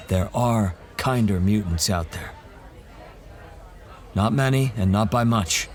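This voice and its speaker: Man voicing a gruff wasteland monster hunter, low voice, blunt, steady, audio drama. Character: hardened male monster hunter, bold and scheming.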